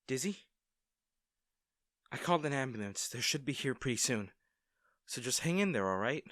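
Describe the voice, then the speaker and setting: A man playing a kind, worried young male rescuer in a roleplay audio drama, speaking in a gentle, hesitant, reassuring manner. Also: mid-range voice, expressive, normal speed, no echo, studio quality, natural voice. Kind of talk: acted first-person roleplay audio drama.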